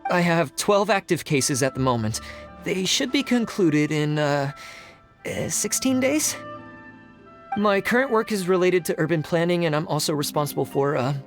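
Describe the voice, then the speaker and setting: A man's voice: on the high side, lively intonation, normal speed. A man playing a timid, awkward, meticulous civil servant in a video game, hesitant and pedantically precise. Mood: nervous. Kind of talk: video game character acting. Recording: game music playing faintly behind.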